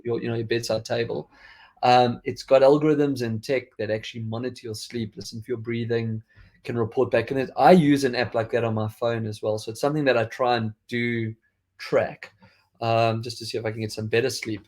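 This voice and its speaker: A man's voice: mid-range voice, little pitch movement, fairly quick, a little mellow. A man from South Africa with a faded South African accent, hosting a podcast in a chatty, rambling way with frequent restarts. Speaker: podcast host. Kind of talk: casual tech chat.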